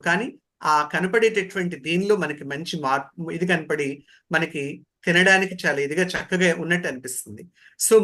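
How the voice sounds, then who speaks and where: A man with a slightly high, very bright voice teaches as a trainer. He speaks in an instructive lecture style, putting questions to his listeners and repeating the key phrases. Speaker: voice modulation trainer.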